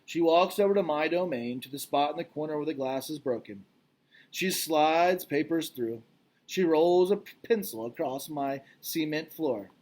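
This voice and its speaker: Male storybook reader, slightly high register, reading aloud for children. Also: lively intonation, normal speed, a little mellow. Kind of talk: storybook narration.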